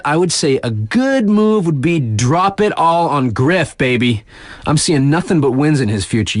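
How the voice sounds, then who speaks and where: A hip video game radio DJ with a slightly high male voice that swings widely in pitch. He reads sports news in slangy, easygoing hype, stretching key phrases, and the sound is dry and close. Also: dry recording. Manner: slangy, laid-back hype with emphatic drawn-out phrases.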